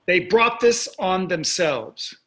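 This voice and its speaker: An actor playing a wealthy, cynical husband in a staged play reading, sarcastic and dismissive in delivery. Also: male voice, high voice, expressive, slow pace, a bit bright, full character voice. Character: wealthy, cynical, callous, golf-loving husband.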